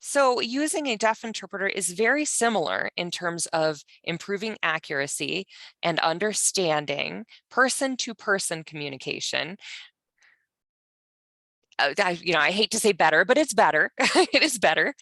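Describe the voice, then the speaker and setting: A woman interpreting for a panelist, speaking in first person in a measured, halting way with pauses. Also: on the low side, pushed and bright, no echo. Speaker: sign language voice interpreter for a panelist.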